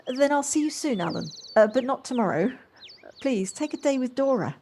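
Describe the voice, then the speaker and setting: A woman's voice: sits high, lively intonation, moderate pace, a little mellow. A woman playing a polite, effusive, wistful character in an amateur audio drama. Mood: apologetic, courteous. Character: Josie, wistful, polite, effusive woman rediscovering childlike freedom. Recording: faint noise, home-recorded on personal device.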